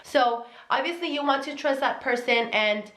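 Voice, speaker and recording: A woman's voice: high-pitched, moderate pace, a bit bright. Earnest young woman with a Mexican accent. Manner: earnest, emphatic, repeats key words. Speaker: vlogger giving relationship advice. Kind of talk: casual advice vlog to camera. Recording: echoey room.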